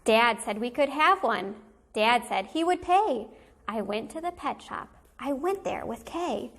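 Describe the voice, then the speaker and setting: A woman teacher reading a children's picture book aloud in an animated, deliberate storytelling manner for young listeners. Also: on the high side, a little hiss or hum.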